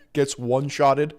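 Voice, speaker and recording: A man's voice: mid-range voice, darkish tone, slight background noise. A man streaming live and reacting to a video with crude, wry commentary and frequent laughter.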